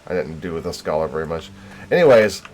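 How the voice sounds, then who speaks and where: A man with a low-pitched voice hosts a casual video game livestream. He talks in a loose, rambling way and tosses in self-deprecating asides as he goes. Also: sing-song, quick pace, a little mellow, faint music behind. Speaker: game streamer.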